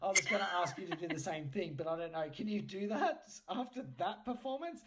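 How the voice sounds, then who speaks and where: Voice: medium pitch, lively intonation, fairly quick, noisy background. Playful, teasing podcast host chatting casually.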